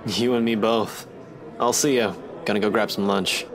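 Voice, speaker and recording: A man's voice: lowish voice, steady tone, moderate pace, close to an everyday voice. An actor playing a brooding, exasperated male police detective in a visual novel game, terse and sardonic. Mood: mild exasperation. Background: game music.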